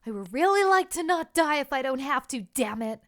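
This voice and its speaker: A woman playing a brash, impatient, bloodthirsty cultist fighter in a fantasy audio drama, forceful and petulant. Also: high-pitched, expressive, a little fast. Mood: exasperated.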